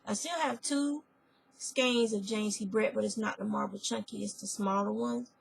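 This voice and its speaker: A woman whose voice is hoarse from a spreading cold, chatting casually in a crafting vlog and often rambling and correcting herself. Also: mid-range voice, steady tone, moderate pace, low-quality recording. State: hoarse, losing her voice. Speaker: fiber arts vlogger. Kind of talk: casual chat.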